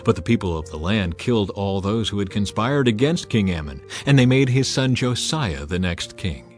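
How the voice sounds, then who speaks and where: A man reading scripture aloud as narrator in a measured, solemn way. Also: on the low side, lively intonation. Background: soft music.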